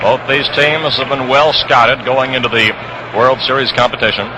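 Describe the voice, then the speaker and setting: An American man calling baseball play-by-play on 1960s radio, measured, with suspenseful pauses, on a noisy old broadcast recording. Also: mid-range voice, fairly even intonation. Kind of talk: 1960s radio sports commentary. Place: ballpark broadcast position. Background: crowd hum, noise.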